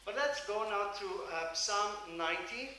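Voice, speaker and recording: A man whose first language is Hebrew, preaching in English in short phrases that pause for an interpreter. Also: sits high, animated, distant mic, background noise. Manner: speaks in short phrases, pausing for translation. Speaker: guest preacher. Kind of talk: sermon in English with live interpreter. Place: church. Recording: echoey church hall, mic heard from afar.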